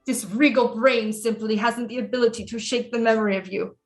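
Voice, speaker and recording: A woman's voice: fairly high pitch, fairly even intonation, brisk, soft background music, natural voice. A woman playing an imperious, lovelorn French queen in a theatrical period audio drama, with haughty, grandiose formal diction. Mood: pleading, frustrated.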